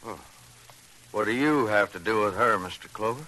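A man acting a gruff, hot-tempered middle-aged father, brusque and anguished, in an old-time radio drama. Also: lowish voice, normal speed, rough recording. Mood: irritated, suspicious. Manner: brusque, forceful, agitated. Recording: vintage radio recording.

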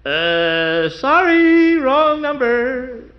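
An actor playing a pompous, blustering middle-aged uncle in a 1940s radio comedy, chuckling often. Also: male voice, very high voice, sing-song, very slow, heavily acted. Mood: flustered. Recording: dull old radio recording, faint hiss.

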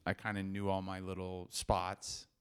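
A man's voice: deep voice, completely flat delivery, measured pace, clean tone. A man in casual conversation, rambling along with lots of "like" and restarts. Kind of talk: casual chat.

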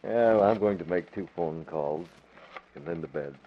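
An actor playing a calm, confident, brisk crime-fighting publisher in 1940s radio drama style. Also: male voice, low-pitched. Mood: confident, satisfied. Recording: muffled vintage radio recording.

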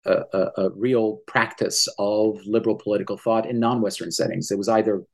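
Lowish-voiced scholarly man from Iran. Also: steady tone, fairly quick. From Iran, raised in Tehran until fifteen, then Canada. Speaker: academic guest, international studies professor. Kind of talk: explanatory interview talk.

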